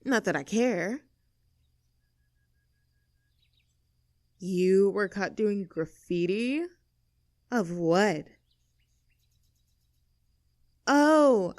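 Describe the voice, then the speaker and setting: A woman's voice: mid-range voice, no echo, lightly acted. A snooty young mean girl, acted out by a woman for an audio roleplay. She sounds bratty and sarcastic, always ready to take offence, with a mid-range female voice. The recording is dry, with no room around her words. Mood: scornful, prying. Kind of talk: acted audio roleplay drama, addressing the listener.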